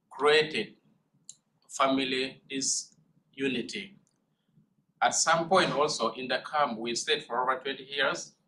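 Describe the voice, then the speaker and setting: A young man addresses a seminar room in measured phrases, pausing often with uh. His tone is bright and forceful at an ordinary pitch. A faint room hum runs underneath. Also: poor audio. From northern Uganda. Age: young adult. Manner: measured, with many uh pauses. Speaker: guest speaker, student. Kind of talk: presentation.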